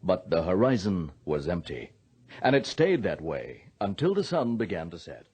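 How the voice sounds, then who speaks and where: A man's voice: deep voice, wildly swooping pitch, moderate pace, a little mellow, breathy edge. A man giving scripted narration for an old-fashioned radio adventure.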